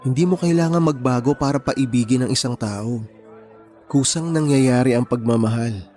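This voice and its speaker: An actor playing a kind, principled young man in a Tagalog radio romance, speaking gently and earnestly. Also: medium pitch, normal speed, soft background music, natural voice. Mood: gentle, earnest.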